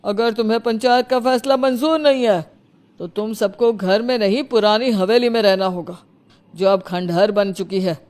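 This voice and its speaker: A woman's voice: mid-range voice, moderate pace, darkish tone, lightly acted. A woman voicing a stern, domineering older male village council head in a cartoon story, scolding with authority.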